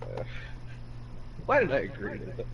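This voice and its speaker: A man's voice: moderate pace, highs cut off, crowd noise. A man in casual voice chat, grumbling and sarcastic, swearing freely.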